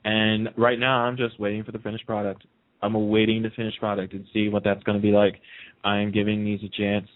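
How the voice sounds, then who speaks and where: A man with a fairly low voice hosts a casual talk radio show. He is opinionated and emphatic, repeating his points to drive them home. The sound is narrow and muffled, like a phone line. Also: monotone, fairly quick.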